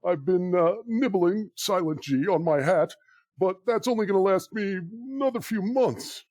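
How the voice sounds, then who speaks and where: A man's voice: high voice, lively intonation, moderate pace, darkish tone, dry recording. A man playing a lost, half-starved gnome in a comedic audio drama, stammering and hesitant. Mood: forlorn, hesitant.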